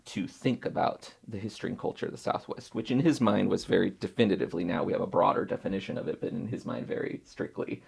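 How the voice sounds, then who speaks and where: A man's voice: deep voice, fast talker, creaky, few pauses, husky. A man from Mexico, around his thirties, working as a museum curator and talking casually, telling stories thoughtfully with bursts of laughter. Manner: thoughtful, lively storytelling with laughs. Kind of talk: casual conversation.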